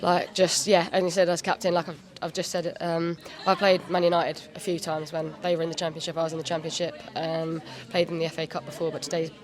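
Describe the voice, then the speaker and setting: A woman team captain giving a halting, modest post-match interview pitchside, full of "like" and "um" fillers. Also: flat delivery. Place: pitchside at an outdoor stadium.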